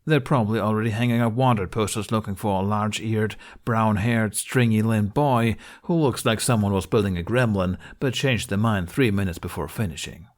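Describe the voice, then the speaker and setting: Guy doing a gruff scolding guardian in a fantasy audiobook, lowish voice, sardonic but caring. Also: normal speed, hardly any pauses, close to an everyday voice. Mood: exasperated.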